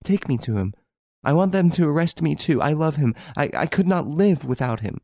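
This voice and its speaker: A distraught young woman, high and trembling, sobbing and pleading in a storybook tale, as a male narrator puts her on. Her voice swings wildly and sits close and dry on the mic. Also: very animated, slow, dark tone, slightly rough, no room sound, exaggerated voice. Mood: sobbing despair.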